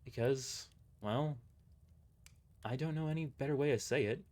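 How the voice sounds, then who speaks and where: A man voicing a gentle, devoted friend in love for an audio drama, earnest and a little hesitant. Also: mid-range voice, measured pace, voice pushed up, natural voice. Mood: tender, earnest. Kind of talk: amateur audio drama dialogue.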